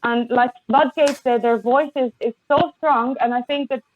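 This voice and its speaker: A woman guest on a podcast, speaking with passion and many halting restarts over a remote call. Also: on the high side, fairly even intonation, a little hiss or hum. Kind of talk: impassioned conversation. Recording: tinny phone-like line, dry.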